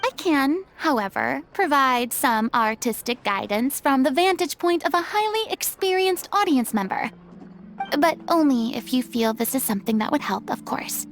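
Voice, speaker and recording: A woman's voice: high-pitched, lively intonation, normal speed, slight background noise. A woman voicing a grand, theatrical former stage star in a game cutscene, speaking in ornate, dramatic phrasing. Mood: grandiloquent.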